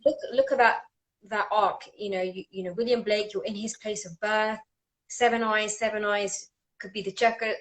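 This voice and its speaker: A woman's voice: medium pitch, fairly even intonation, moderate pace, fairly bright tone, poor audio. A woman hosting an interview, talking enthusiastically and often restarting her sentences. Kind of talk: casual conversational interview.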